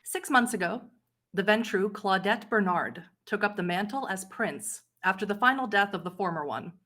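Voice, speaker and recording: A woman acts as storyteller for a tabletop game. Her voice is bright and forward, sitting at an ordinary pitch for a woman as she sets scenes and puts questions to the players. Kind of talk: narrating a tabletop roleplay game.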